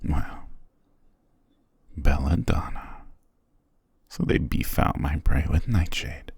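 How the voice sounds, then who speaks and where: A man playing an ancient, imperious and possessive vampire patriarch in a fantasy ASMR roleplay, speaking in a formal, commanding, measured way. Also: a little mellow, dry recording, exaggerated voice. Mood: cold contempt. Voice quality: very deep, gravelly, breathy.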